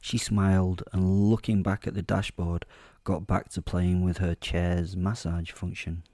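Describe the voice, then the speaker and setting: Guy narrating a dark story in first person, low mellow voice, wry and confiding, really dry close sound. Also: no echo. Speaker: narrator playing the werewolf protagonist.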